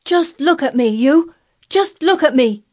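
A woman voicing an imperious, hot-tempered rich boy in a storybook reading, loud, haughty and commanding. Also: high voice, expressive, a little slow, voice pushed up. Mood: furious.

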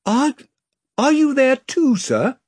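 Man playing an eager young schoolboy, raised pitch, breathy, dry sound. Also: sing-song, slow, dry recording, exaggerated voice.

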